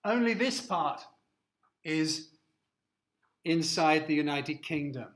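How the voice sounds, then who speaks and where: A man's voice: a little high, expressive, slow pace, fairly bright tone. An English man giving a classroom lecture, explaining step by step and often checking with "okay?" and "uh". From England. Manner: plain explanatory, frequent "okay?" checks and "uh" fillers. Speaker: university lecturer. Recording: echoey classroom, distant microphone.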